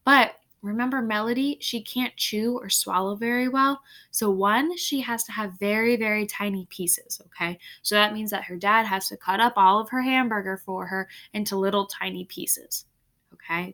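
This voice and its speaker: A woman with a bright voice and a middle pitch reads a story aloud as a teacher would. She breaks in with casual explanations for students. She often tags her points with a quick okay or right.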